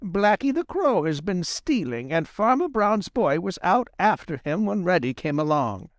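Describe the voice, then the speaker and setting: A man voicing a shrewd old female fox, grandmotherly and sharp-tongued, lecturing in a storybook reading. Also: sits high, very animated, normal speed, no echo, studio quality, pitched above the performer's own voice. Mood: musing. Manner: sharp-tongued, lecturing, sing-song when reciting.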